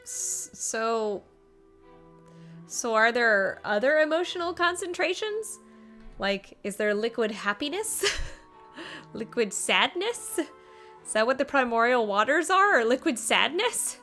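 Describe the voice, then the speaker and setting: A woman gives casual live commentary on a game. Her voice is high and bright, with lively swings in pitch and exclamatory reactions. Faint game music sits behind her. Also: takes it slowly. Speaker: game streamer.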